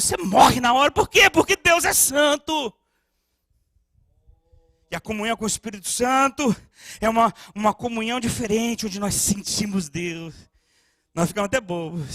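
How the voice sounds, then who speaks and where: A man with a very high, bright voice preaches to his congregation, close and dry on the mic. He swings from quiet, personal storytelling to fervent shouting, often stammering over repeated words as he searches for the next phrase. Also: no echo. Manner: frequent stammered restarts. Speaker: pastor. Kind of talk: sermon.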